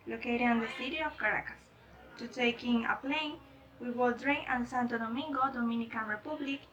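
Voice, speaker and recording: High, bright-voiced woman presenting from afar. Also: muffled recording. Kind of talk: scripted presentation read aloud. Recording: distant microphone.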